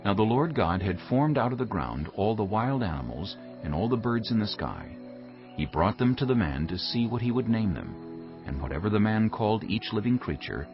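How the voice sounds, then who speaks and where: A male narrator reads scripture as solemn storytelling. His voice is low and moves expressively through each phrase. Music sits clearly behind him. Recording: dull muffled audio under music.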